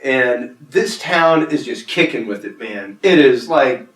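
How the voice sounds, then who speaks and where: A man's voice: medium pitch, measured pace. A man hosting a podcast, chatting casually and loosely with slangy asides. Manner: relaxed, slangy, says man and buddy. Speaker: podcast host. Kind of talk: casual interview chat. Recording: echoey room.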